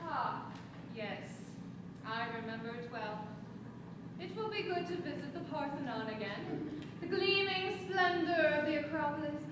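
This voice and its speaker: An actress playing a vain, seductive goddess of love in a stage play, with regal, languid declamation. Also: female voice, high voice, lively intonation, measured pace. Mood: wistful. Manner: regal, self-adoring, languid theatrical declamation. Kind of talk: projected live stage play acting. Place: theater stage, recorded from afar. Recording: distant, echoey theater recording with background noise.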